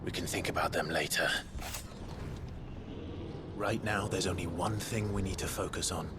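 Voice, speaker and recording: An actor playing an earnest, wounded young warrior son, grave and intense in a dramatic game cutscene. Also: male voice, low-pitched, fairly even intonation, normal speed, background noise, natural voice. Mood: determined.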